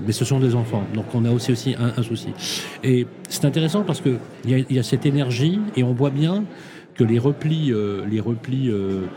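A man hosts the interview in a middle-pitched voice. He speaks haltingly, full of fillers and restarts as he feels his way through long questions. Crowd noise murmurs clearly in the background. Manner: hesitant, many fillers and restarts, searching phrasing. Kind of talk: conversational interview.

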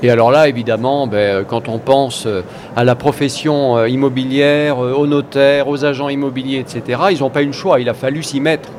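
A man's voice: mid-range voice, fairly even intonation, fairly quick, a little mellow. A man hosting an interview, chatty and a little teasing, steering his guest with leading questions. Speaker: interviewer, host. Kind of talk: conversational interview. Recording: faint background noise.

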